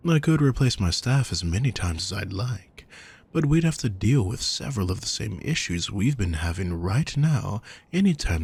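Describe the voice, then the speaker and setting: A man playing a wealthy, possessive villain kidnapper in an intimate audio roleplay, calm, courtly and manipulative, speaking in measured, formal phrases with soft menace. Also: full character voice. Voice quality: low, gravelly, husky.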